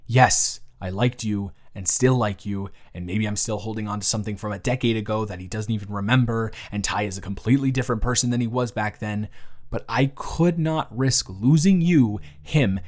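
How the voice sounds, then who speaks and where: A man's voice: on the low side, moderate pace, close to an everyday voice. A man playing an earnest, easily flustered young man in love, in a conversational, emotive romantic audio roleplay addressed to the listener. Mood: earnest, vulnerable. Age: young adult. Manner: conversational, emotive, swinging from hushed to exasperated.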